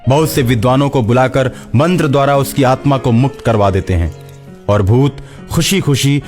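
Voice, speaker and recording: A man's voice: mid-range voice, moderate pace, darkish tone, faint music behind. A man narrating a scripted moral story as a storyteller.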